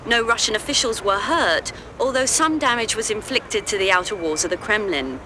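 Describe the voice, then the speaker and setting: A woman's voice: mid-range voice, lively intonation, moderate pace, close to an everyday voice. A woman playing a TV news anchor, reading formal news bulletins in a game cutscene, with noise behind her. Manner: crisp, formal news delivery. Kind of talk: scripted TV newscast. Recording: noisy background behind voice.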